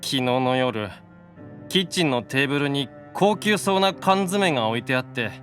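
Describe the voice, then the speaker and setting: A man playing a gentle, gluttonous young male demon, plaintive and earnest, in an anime audio drama. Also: mid-range voice, animated, normal speed, soft background music, natural voice. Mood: forlorn, subdued.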